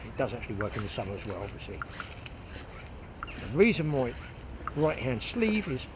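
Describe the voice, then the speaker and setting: An older man giving a chatty, hands-on fly fishing lesson, talking as he demonstrates on a lakeside bank with a clip-on mic. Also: mid-range voice. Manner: chatty, hands-on, thinking aloud. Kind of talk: outdoor fishing tutorial. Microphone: clip-on mic outdoors, some wind. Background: wind and outdoor ambience.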